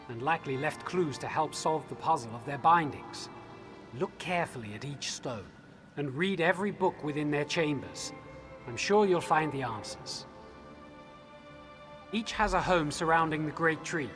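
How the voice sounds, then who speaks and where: An actor playing an earnest elf leader in a video game, giving measured, solemn instructions with urgency. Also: male voice, a little high, close to an everyday voice. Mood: calm, instructing. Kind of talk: video game quest dialogue.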